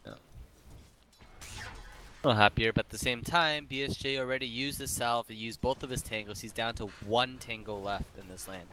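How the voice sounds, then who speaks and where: A man with an American accent, co-casting a game match with rambling, hedging analysis, quick to laugh. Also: medium pitch, pushed and bright, a little hiss or hum. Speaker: esports caster. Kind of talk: casual game analysis commentary.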